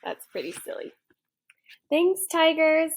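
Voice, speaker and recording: A woman teacher reading a children's story aloud with expressive, clearly phrased narration. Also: high-pitched, slow. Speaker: third grade teacher.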